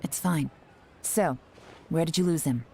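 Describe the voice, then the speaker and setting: An actress playing a composed female spymaster in a game, calm and crisp as she gives orders. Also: sits low, a little slow, slight background noise. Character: cool, commanding female spymaster. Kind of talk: game dialogue.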